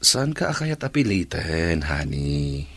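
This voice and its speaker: A man's voice: extremely low pitch, very animated, slow pace, vocal fry, fairly bright tone, no echo, full character voice. A man with an Ilocano accent acting a patient, devoted bachelor uncle of forty in a radio drama. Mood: earnest, pleading.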